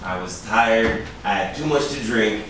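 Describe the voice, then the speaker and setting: An actor in a staged crime drama reading, playing a defensive, evasive celebrity suspect who gets prickly and stammers when pressed. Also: male voice, on the low side, a little slow, fairly bright tone. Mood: defiant, irritated. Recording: very echoey, distant, noisy stage recording.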